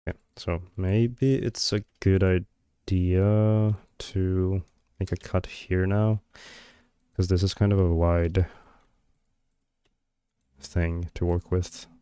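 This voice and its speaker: Guy on stream muttering to himself while working, slow, lots of "like" and "um", smooth clear voice. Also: low voice, steady tone, dark tone, dry recording, clean studio sound. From Georgia. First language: Georgian. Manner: hesitant, many fillers and trailing thoughts. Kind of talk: thinking aloud while working on a live stream.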